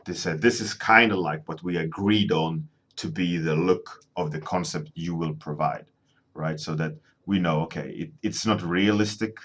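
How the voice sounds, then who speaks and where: A man's voice: low voice, moderate pace, fairly bright tone. A man in his thirties, a native Swedish speaker, chatting casually on a painting livestream from his home studio, rambling and restarting his sentences.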